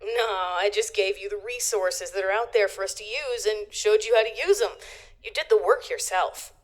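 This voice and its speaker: A woman's voice: mid-range voice, moderate pace, close to an everyday voice. A woman playing an easygoing, supportive best friend in an audio drama, with a casual, reassuring way of talking. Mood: modest, casual.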